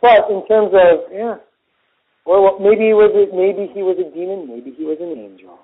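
An American man with a husky voice chatting casually with a friend, agreeable and a little halting. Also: high voice, fairly even intonation. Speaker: podcast co-host. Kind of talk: casual phone chat between friends. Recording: muffled lo-fi phone-call audio.

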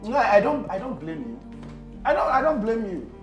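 A man's voice: sits high, big pitch swings, moderate pace. A man playing a husband who grumbles about his uncovered food, stammering and repeating himself when upset. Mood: bitter. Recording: roomy echo, faint music.

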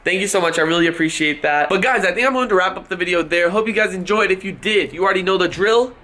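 High-pitched young American man vlogging. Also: fast, pushed and bright. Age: young adult. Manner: youthful, slangy. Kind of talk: casual vlog chat. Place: inside a family house. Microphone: handheld camera at arm's length. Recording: clear background noise, handheld vlog camera.

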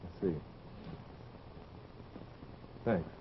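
A man's voice: rapid-fire, lightly acted. An actor in his thirties playing an earnest fiancé, urgent and reassuring, in early television drama style. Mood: polite, probing. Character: earnest young fiancé pleading with troubled beloved. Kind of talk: 1950s live television drama acting. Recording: dull, noisy old television soundtrack.

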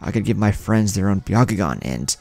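An eager, stubborn young male ninja from an anime-style audio story, acted by a man narrator. He explains things earnestly in a low, creaky voice, with music behind him. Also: lively intonation, a little fast, darkish tone, no room sound. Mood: excited, pleading.

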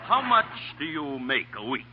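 An actor playing a bullying, scheming corrupt mayor with a gruff, impatient manner in 1940s radio style. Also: male voice, mid-range voice, big pitch swings, moderate pace, background noise, natural voice. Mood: scheming, coaxing. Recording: muffled 1940 radio recording.

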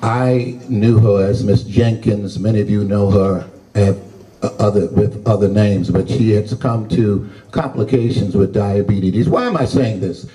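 A man's voice: fairly low pitch, dark tone, far from the mic. Older male historian, folksy lecture, heard from across a library hall. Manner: folksy, unhurried, conversational. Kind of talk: public talk to a library audience. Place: large library room with an audience. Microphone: handheld mic at a podium.

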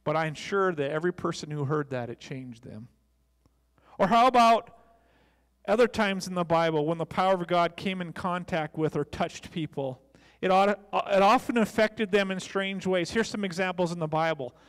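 A man's voice: sits high, normal speed. A middle-aged American man preaching a sermon as a pastor, speaking into a handheld microphone on a church stage. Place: church stage, large indoor room. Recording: long echoey hall reverb.

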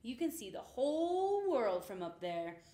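A woman's voice: fairly high pitch, very animated, unhurried, mellow, voice pushed up. A woman voicing a small gray ship's mouse, proud, helpful and a little timid, in a children's storybook. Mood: reassuring. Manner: earnest, announcing proudly. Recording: roomy, echoing indoor sound.

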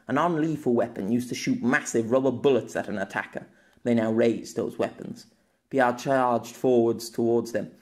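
A man's voice: medium pitch, darkish tone. Man narrating an action story dramatically. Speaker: audiobook narrator and author.

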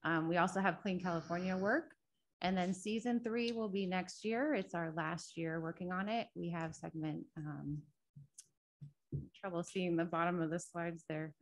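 A soft-spoken woman with a fairly low voice gives a project update at a city council meeting. She talks into a table microphone in the council chamber, pausing often with ums as she walks through her slides. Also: steady tone. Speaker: state transportation project manager. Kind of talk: public meeting presentation.

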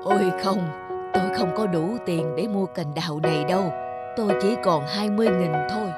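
A sad, gentle old grandfather from a cartoon, played by a man. He speaks in a mild, hesitant manner. Music plays behind the voice. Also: high voice, a little fast, lightly acted. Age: older.

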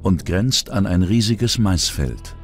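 A man's voice: deep voice, lively intonation, slow pace, faint music behind. A man narrating a scripted true-crime documentary.

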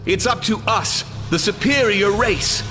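Pompous governor in a video game, sharp high voice, big hall reverb, sneering contempt. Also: male voice, measured pace, soft background music. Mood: rousing, zealous. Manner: pompous, commanding oratory. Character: haughty, contemptuous male governor with supremacist zeal. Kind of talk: video game character acting.

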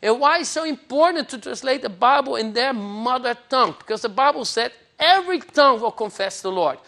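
A man's voice: very high voice, lively intonation, brisk, creaky, bright, forceful tone. A man in his forties from the Netherlands, speaking with a Dutch accent as he preaches an earnest, emphatic sermon in a TV studio on a lapel mic. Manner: earnest and emphatic, gesturing with both hands. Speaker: pastor. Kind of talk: televised sermon teaching. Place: TV studio set.

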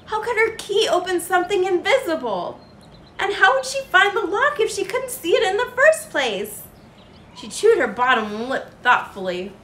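A woman's voice: very high-pitched, sing-song, moderate pace, fairly bright tone. A young adult woman reading a picture book aloud expressively as a children's storytime host. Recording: roomy, with faint background noise.

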